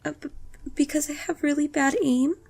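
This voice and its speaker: Woman playing a guilty child, very high-pitched, nervous, stammering, apologetic, audio drama. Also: little pitch movement, normal speed, gravelly, darkish tone. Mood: flustered, apologetic. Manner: stammering, hesitant.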